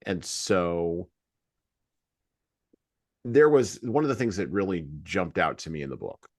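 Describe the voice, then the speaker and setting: A man hosting a podcast, talking reflectively about books in a halting, deliberate way with emphasis on key words. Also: low-pitched, very animated, no echo, studio quality. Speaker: podcast host. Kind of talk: reflective book discussion.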